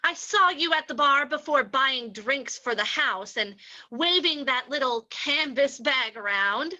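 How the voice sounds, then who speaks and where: Woman playing high, bright, coy gold-seeking gal. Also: lively intonation, a little slow, heavily acted, rough recording. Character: coy, flattering young woman gold-seeker in a tavern. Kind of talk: old-time radio acting.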